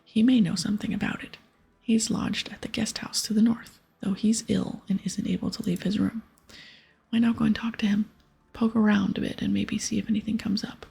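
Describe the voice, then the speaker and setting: A woman voicing a stern, busy aged wizard from a game in a formal, measured ASMR whisper. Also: medium pitch, level tone, dark tone, exaggerated voice, low-quality recording. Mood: grave, measured. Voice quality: breathy whisper.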